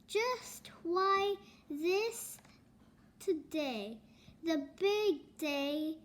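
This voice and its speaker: A sleepy, agreeable boy goose from a farm storybook, acted out by a young girl. The voice is very high and moves slowly, word by word. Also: lively intonation, heavily acted. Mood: puzzled.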